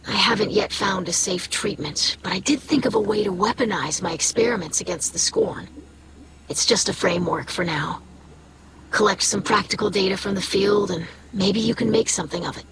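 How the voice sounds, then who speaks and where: An actress playing an earnest, friendly scholar ally in a video game, articulate in delivery. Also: female voice, mid-range voice, moderate pace, noisy background, natural voice. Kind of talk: video game character dialogue.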